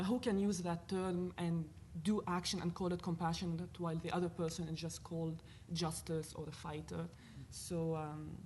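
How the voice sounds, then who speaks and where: A woman from Lebanon with a Lebanese accent, speaking as a panelist at a university event in an earnest, animated way, thinking aloud with fillers. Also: lowish voice, slight background noise. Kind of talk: panel discussion talk.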